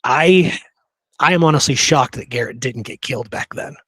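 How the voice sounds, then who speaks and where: A man's voice: medium pitch, moderate pace, a bit bright, husky, no room sound. Man chatting history, close dry sound. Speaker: podcast host. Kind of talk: casual history discussion.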